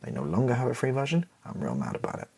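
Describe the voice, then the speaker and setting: A British man speaks in a soft, very husky and breathy voice with crackling vocal fry, slipping toward a whisper. His intonation moves around a lot as he improvises casually in an ASMR style, thinking aloud in hesitant fragments. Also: mid-range voice, huge pitch swings, racing. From Britain. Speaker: ASMR video creator thinking aloud while writing.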